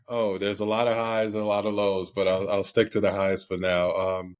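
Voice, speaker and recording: Middle-aged man from Barbados, deep monotone, halting guest with lots of ums. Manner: hesitant, many fillers and restarts. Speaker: podcast guest, company CEO. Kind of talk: casual interview.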